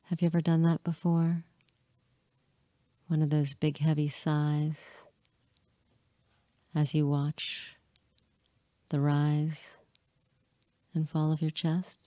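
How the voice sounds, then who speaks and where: Slow, low-voiced woman guiding hypnosis. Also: level tone, mellow, no echo. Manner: soothing, measured, with frequent pauses between short phrases. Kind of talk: hypnotic guided meditation.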